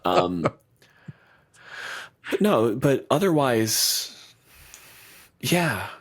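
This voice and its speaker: A man's voice: mid-range voice, big pitch swings, drawn-out words. A man co-hosting a podcast and running the game, teasing, swearing and rambling casually over a remote call. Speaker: podcast co-host and game master. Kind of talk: casual banter. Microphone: remote recording over video call.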